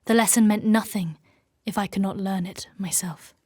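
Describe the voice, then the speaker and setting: A woman playing a proud, stern warrior knight in a dark fantasy audio drama, speaking in a formal, commanding way. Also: medium pitch, steady tone, moderate pace, heavy background noise, natural voice. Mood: solemn, brooding.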